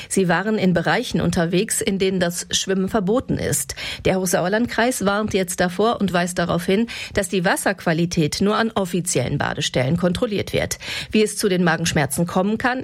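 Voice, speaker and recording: Low-voiced woman reading regional news. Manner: even, measured delivery. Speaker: radio news presenter. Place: radio studio.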